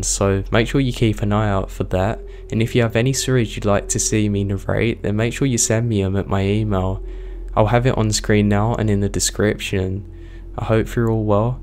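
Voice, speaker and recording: Horror narrator, male, low and hoarse, strained voice, steady scripted read, faint background hiss. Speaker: horror story narrator, channel host.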